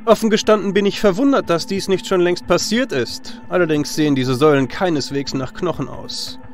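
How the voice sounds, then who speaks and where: Mocking, fearless hero , acted by a man, fantasy audio drama. High male voice, cheeky, faint music behind. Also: brisk, close to an everyday voice. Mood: dry mockery. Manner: taunting, cheeky.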